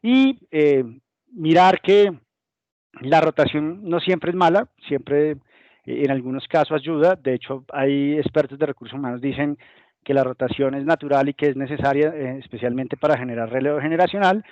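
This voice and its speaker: A man's voice: on the high side, moderate pace, a little mellow, no echo. A Colombian man gives a business webinar talk in a slightly raised voice. He explains things patiently and now and then acts out what companies might say. The sound is close and dry, with the muffled, lo-fi quality of a video call. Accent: Colombian Spanish. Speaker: guest presenter.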